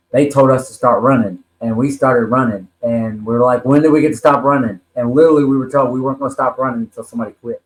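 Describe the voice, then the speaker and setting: A man's voice: mid-range voice, reverberant, low-quality recording. A man in his forties telling his life story as a podcast guest, earnest and rambling, with many ums and restarts. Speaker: podcast guest, wrestler and talent manager. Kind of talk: personal story in a podcast interview.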